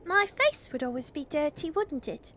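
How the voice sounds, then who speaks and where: Woman as an earnest, high-voiced Edwardian girl. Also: very animated, moderate pace, fairly bright tone, a little hiss or hum. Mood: matter-of-fact. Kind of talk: storybook character reading.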